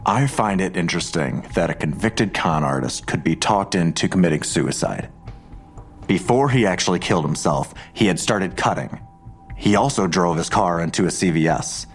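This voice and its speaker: Menacing, very low-voiced male detective. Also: expressive, moderate pace, faint music behind. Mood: cold, probing. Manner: pressing, accusatory, pointed questioning. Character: menacing, suspicious male police detective interrogating a woman. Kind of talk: audio drama acting.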